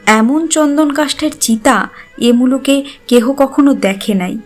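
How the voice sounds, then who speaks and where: A woman narrator with a high-pitched voice reads literary prose in a scripted storytelling style. Music plays softly behind her. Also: measured pace. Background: background music.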